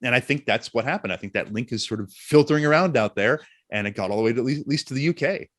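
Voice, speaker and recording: A man speaking as an interview guest and voice actor, telling stories in an expressive, jokey, self-deprecating way. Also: medium pitch, quick pace, no echo, studio quality. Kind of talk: animated interview storytelling.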